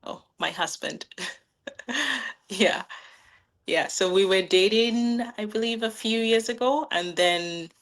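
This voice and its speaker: A woman in her thirties, originally from Nigeria, answering interview questions in a relaxed, friendly way with frequent "um"s and little laughs. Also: medium pitch, slow pace, long pauses. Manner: friendly, laughs often, many "um"s and "like"s. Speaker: guest, new business owner.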